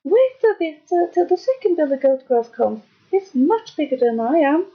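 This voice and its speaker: Woman doing a tiny, very high-pitched little billy goat. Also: animated, moderate pace, lo-fi sound. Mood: frightened pleading. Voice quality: small, high voice. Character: tiniest young male billy goat, timid and pleading.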